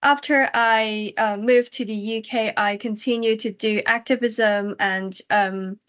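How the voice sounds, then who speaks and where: A woman of about twenty with a Hong Kong accent, giving prepared advocacy remarks in an earnest, deliberate way on an online panel. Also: a little high, steady tone, fairly bright tone. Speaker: activist, panel speaker. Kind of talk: prepared webinar testimony and advocacy. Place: online webinar call.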